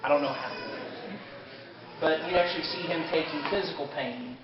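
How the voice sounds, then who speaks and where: A man giving an informal fan panel lecture at a convention, a bit halting and given to joking asides. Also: on the high side. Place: indoor convention panel room. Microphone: distant camera mic. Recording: echoey convention room, distant muffled camera mic, crowd. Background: audience crowd noise.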